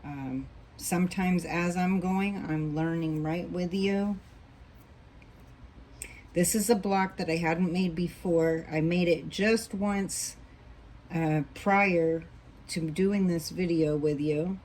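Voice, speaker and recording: A woman walks through sewing a quilt block at an unhurried pace, thinking aloud as she works. Her voice sits a little low and mellow, slightly hoarse, with faint noise in the room behind her. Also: fairly even intonation. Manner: patient, thinks aloud while working. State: losing her voice, coughing. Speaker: quilting instructor. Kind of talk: relaxed hands-on sewing tutorial.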